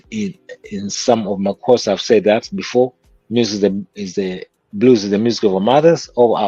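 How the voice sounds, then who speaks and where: A man's voice: fairly low pitch, dark tone, breathy edge, dry recording, lo-fi sound. A man with a Kenyan accent, a guest musician telling stories from his childhood in an interview. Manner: reflective, storytelling. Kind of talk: interview conversation.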